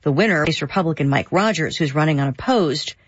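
Measured female radio newsreader. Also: sits low, lively intonation, breathy edge, no echo, lo-fi sound. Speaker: radio news anchor. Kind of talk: news reading.

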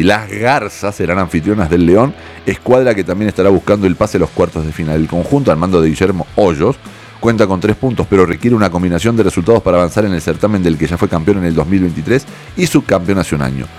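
A man with a very low voice on a morning sports radio show. He talks quickly, jokes with his co-host and reads the sports news. Faint music runs behind him. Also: a little mellow. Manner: teasing, joking, formal usted address.

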